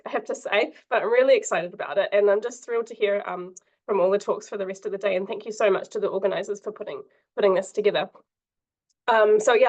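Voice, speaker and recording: Woman, husky from a cold, conference talk, video call, friendly, lots of ums. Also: medium pitch. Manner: friendly, frequent ums and uhs. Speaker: invited conference speaker. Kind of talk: academic presentation over video call.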